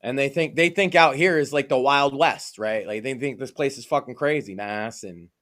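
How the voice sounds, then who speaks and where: A man's voice: medium pitch, normal speed, a bit bright. A man from Massachusetts co-hosting a podcast, talking casually, with a profane, emphatic and opinionated manner. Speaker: podcast co-host.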